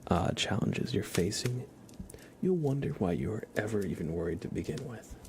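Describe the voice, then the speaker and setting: Hushed, creaky low-voiced man, ASMR streamer. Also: sing-song, moderate pace, noisy background. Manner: hushed, often slipping into whisper. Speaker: ASMR livestream host. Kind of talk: soft ASMR livestream chat.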